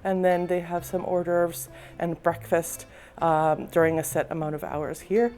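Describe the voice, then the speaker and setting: A woman with a husky voice from a slightly sore throat, giving a relaxed walking tour of a cruise ship with frequent ums. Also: fairly low pitch, measured pace. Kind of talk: casual walking tour narration. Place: indoors, moving through a cruise ship.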